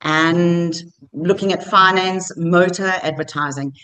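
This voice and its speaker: A woman's voice: lowish voice, steady tone, slow pace, bright, forceful tone, rough recording. A woman hosting a business interview podcast, introducing her guest with upbeat, affirming remarks. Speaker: podcast host.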